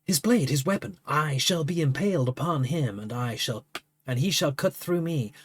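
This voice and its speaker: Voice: medium pitch, lively intonation, moderate pace, a little hiss or hum, natural voice. A reader voicing Liaya, a heartbroken young woman in a stage tragedy, wailing in an overwrought, hammy way. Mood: resolute.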